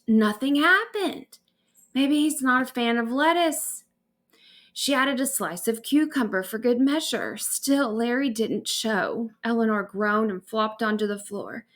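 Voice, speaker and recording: A female storyteller reads aloud at an unhurried, slow pace. Her voice is on the high side, and she delivers the bedtime narration expressively. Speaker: author reading own stories aloud.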